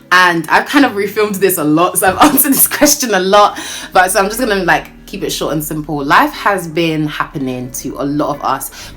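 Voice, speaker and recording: Woman, 20s, from Nigeria; low-pitched, chatty and playful vlogger tone. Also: fairly bright tone. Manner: chatty and playful, jokes and laughs often. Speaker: YouTuber. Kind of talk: casual Q&A vlog.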